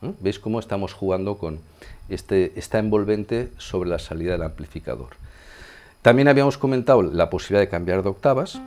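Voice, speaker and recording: A man's voice: deep voice, lively intonation, fairly quick, warm tone, soft background music. A man with a Castilian accent from Spain explaining gear step by step in an informal, conversational tutorial, often checking in with his listeners. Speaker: sound engineer demonstrating a synthesizer. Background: synthesizer sounds being demonstrated.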